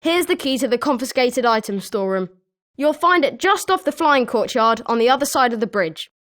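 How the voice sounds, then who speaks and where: An excitable British schoolboy wizard, voiced by a woman, speaks in a high young voice. The pitch swings freely through eager, scripted video game lines. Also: brisk. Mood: challenging. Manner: animated, expressive.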